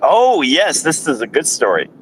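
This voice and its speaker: A man's voice: a little high, wildly swooping pitch, normal speed, a bit bright, a little hiss or hum, low-quality recording. A man in his forties co-hosting a sports show with casual, joking banter and a teasing way of talking. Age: 40s.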